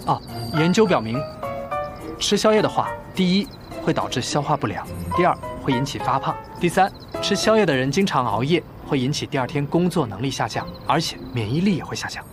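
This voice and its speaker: A young actor playing a bossy, fussy, protective executive in a TV romance drama. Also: male voice, fairly high pitch, animated, brisk, music in the background, natural voice. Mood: fussy, persuading. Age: young adult.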